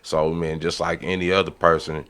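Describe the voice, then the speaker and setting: A man's voice: low-pitched, little pitch movement, normal speed, fairly bright tone. A man co-hosting a casual podcast chat, slangy and blunt, repeating phrases for emphasis. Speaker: podcast co-host.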